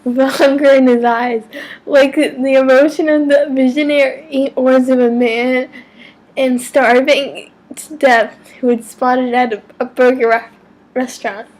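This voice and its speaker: A woman giving a mock-dramatic amateur story reading, theatrical and deliberate, now and then stumbling over words. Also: high voice, fairly even intonation, a little mellow, poor audio. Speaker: amateur reader of fan fiction.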